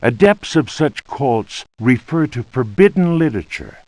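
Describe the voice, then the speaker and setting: Stern churchman, game book reading, ominous, unhurried, widely varied intonation, solemn. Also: mid-range voice, big pitch swings, a little mellow, no echo. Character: stern male churchman warning of devilish cults. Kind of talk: scripted dramatic reading of an old book.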